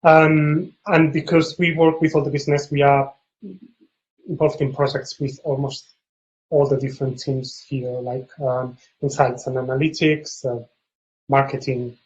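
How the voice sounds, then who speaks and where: A man's voice: mid-range voice, flat delivery, normal speed, a little mellow, dull audio, slight background noise. A man who leads a data science team, introducing himself and his work as a panelist in a professional, explanatory way.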